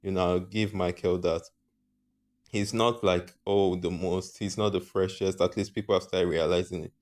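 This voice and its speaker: Brief: deep-voiced man from Africa, vlog host, loose and rambling, trips over himself. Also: steady tone, moderate pace, a little mellow. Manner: rambling, hesitant, often corrects himself. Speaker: channel host. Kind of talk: casual opinion vlog.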